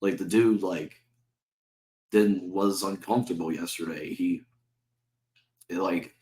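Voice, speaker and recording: A man's voice: low-pitched, fairly even intonation, slow, a little mellow, roomy sound, slight background noise. A man with a sore throat hosting his own sports channel, ranting heatedly as a fan and swearing often, firing off repeated rhetorical questions. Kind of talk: ranting fan commentary to camera.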